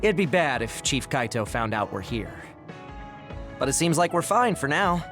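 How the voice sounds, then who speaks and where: An actor playing a brisk, matter-of-fact young male tech operator in a video game. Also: mid-range voice, sing-song, music in the background, lightly acted. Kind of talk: video game cutscene acting.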